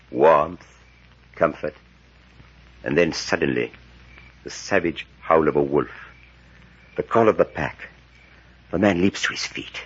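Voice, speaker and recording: Brooding scholarly professor for vintage radio serial; bottomless husky register, slow-building dramatic intensity, old muffled sound. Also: male voice, extremely low pitch, pushed and bright, heavily acted. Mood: feverish, dramatic. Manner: deliberate, scholarly, swelling into theatrical intensity. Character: grave elderly male European professor, steeped in dark lore. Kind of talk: 1940s radio acting, dramatic storytelling.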